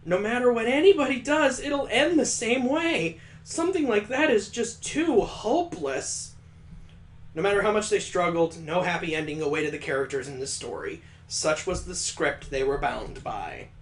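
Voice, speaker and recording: A man's voice: sits high, sing-song, moderate pace. A man hosting a let's play, reading a visual novel aloud with playful, joking asides.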